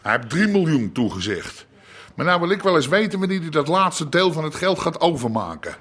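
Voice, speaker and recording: Husky, sharp crime boss. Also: male voice, a little high, sing-song, a little fast, full character voice. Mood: impatient, sarcastic. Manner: curt, dismissive, sarcastic. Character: blunt, impatient Amsterdam crime boss with money trouble. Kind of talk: Dutch radio drama acting.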